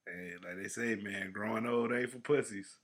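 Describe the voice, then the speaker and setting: Podcast co-host, male, 40s, bright tone, slangy and animated, loud then mumbling at phrase ends. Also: on the low side, moderate pace, slightly rough. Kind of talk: casual chat.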